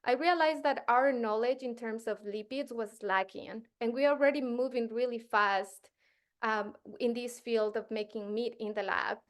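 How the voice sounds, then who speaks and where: A woman's voice: a little high, moderate pace. A woman in her thirties from Ecuador, a postdoctoral researcher giving a casual science workshop to teachers with frequent fillers and restarts. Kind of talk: workshop presentation.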